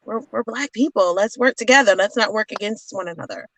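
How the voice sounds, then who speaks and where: A woman talking casually as a panelist in a relaxed group conversation. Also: mid-range voice, fairly quick, no echo, low-quality recording. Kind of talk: casual chat.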